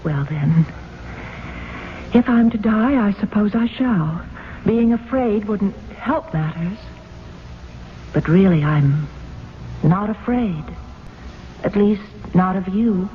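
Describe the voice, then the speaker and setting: An actress playing a calm, compassionate, fearless young woman in a 1970s radio drama, earnest and composed. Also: mid-range voice, slow, long pauses. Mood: defiant, composed. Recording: phone-like vintage radio broadcast, faint noise.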